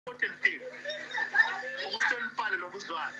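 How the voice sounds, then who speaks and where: An older man on a call, giving animated advice on love and respect between people. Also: high-pitched, a little fast, gravelly, a little hiss or hum, rough recording. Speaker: caller. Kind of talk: call-in over phone.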